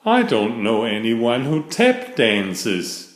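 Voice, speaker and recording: A man's voice: medium pitch, wildly swooping pitch, takes it slowly. A man voicing a lonely, doubtful little squirrel in a children's storybook, with a plaintive, hesitant manner. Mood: wistful. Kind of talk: children's storybook character reading. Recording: echoey room.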